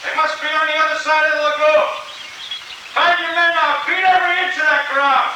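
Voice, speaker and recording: An actor playing a hard, bossy gang-leader villain barking orders in 1940s radio adventure style. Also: male voice, very high-pitched, little pitch movement, fairly quick. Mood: hunting, commanding. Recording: very noisy old 1940s radio recording.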